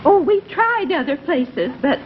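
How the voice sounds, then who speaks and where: A starstruck elderly club lady, eager and chirpy. Her voice is very high and lively in pitch, in old TV sketch style. The soundtrack is dull with age. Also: measured pace. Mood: chatty, matter-of-fact. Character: starstruck elderly woman, chatty fan club secretary. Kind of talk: 1950s television sketch comedy acting. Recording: muffled 1950s TV soundtrack, faint hiss.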